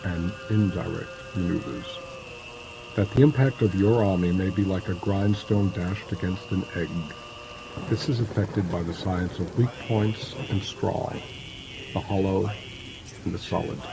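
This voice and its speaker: Male audiobook narrator, very deep voice, solemn, deliberate, dramatized scripted reading, prominent background music. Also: low-quality recording.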